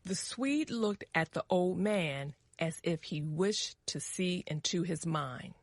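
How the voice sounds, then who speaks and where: Female story narrator, lowish register, measured pace, dramatic reading for English learners. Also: animated, dry recording, studio quality.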